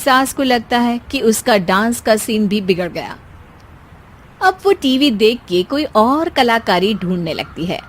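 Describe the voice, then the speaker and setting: A woman's voice: fairly high pitch, lively intonation, unhurried. A woman narrator reading a scripted comic family story.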